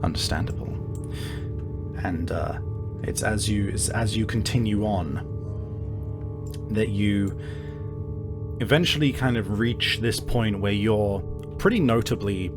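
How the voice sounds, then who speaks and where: A man narrating a tabletop game as game master, improvising and often halting to search for words. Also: on the low side, music in the background.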